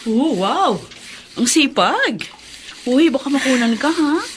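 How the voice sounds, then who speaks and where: A resentful, mocking Filipino sister-in-law in a radio drama. She talks in a highish voice with cutting sarcasm and open scorn. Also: sing-song, takes it slowly, music in the background, exaggerated voice.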